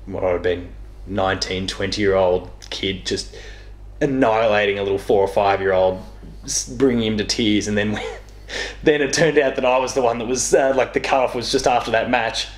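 A man's voice: fairly low pitch, fairly even intonation, moderate pace. A young man telling gaming stories in casual chat as a guest, in a rambling, self-correcting way. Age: young adult. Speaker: interview guest. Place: small living room, on a couch. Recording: echoey living room.

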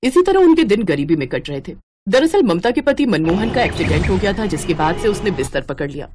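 A woman's voice: mid-range voice, sing-song, fairly quick, noisy background. A woman narrating a moral story in a scripted storybook style.

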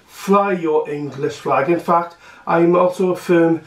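A young man, talking to camera at home in a halting, earnest way full of fillers and restarts. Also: a little high. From Wales. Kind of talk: casual vlog speech to camera. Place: small room at home, indoors. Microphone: camera across the room. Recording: echoey room, distant camera mic.

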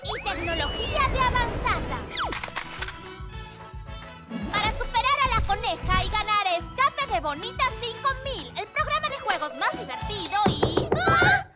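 Super high eager little cartoon girl, dramatic, swoopy voice, loud music under it. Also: normal speed. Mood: excited. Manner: excitable, dramatic, emphatic. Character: bubbly, adventurous young girl, cute and eager. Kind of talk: animated children's cartoon dubbing in Spanish.

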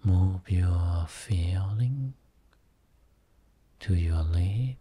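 A man guiding a Buddhist meditation as a teacher, speaking soothingly in short phrases with long pauses between them. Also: low-pitched, warm tone, breathy edge. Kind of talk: guided meditation.

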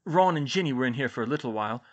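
A woman's voice: extremely low pitch, lively intonation, very fast, muffled recording. A woman voicing an anxious, earnest and insistent teenage girl witch in a storybook reading. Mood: subdued, earnest. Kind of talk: audiobook-style character dialogue.

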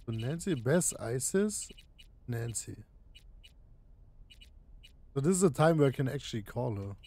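A man in his thirties with a German accent, giving casual, halting game commentary on a livestream. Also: mid-range voice, very animated, warm tone. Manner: halting, searching for words. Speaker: game streamer. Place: indoor room, streaming setup.

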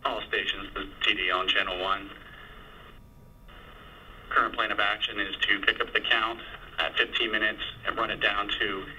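A man's voice: on the low side, little pitch movement, moderate pace, pauses often, bright, forceful tone, rough recording. A man acting as launch director, making procedural announcements over a radio transmission. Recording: radio loop with faint hiss.

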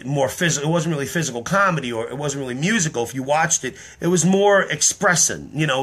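Tired earnest man, bright tense voice. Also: medium pitch. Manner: earnest, rambling explanation with many ums. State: tired, slightly hoarse. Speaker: online performer explaining his act. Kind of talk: casual talk to camera. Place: small room indoors. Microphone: webcam or phone at close range.

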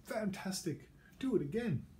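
A man's voice: sits high, animated, normal speed, warm tone, slight background noise, voice pushed up. A man voicing a clever, bold young princess in a read-aloud picture book, eager and emphatic. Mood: cheering.

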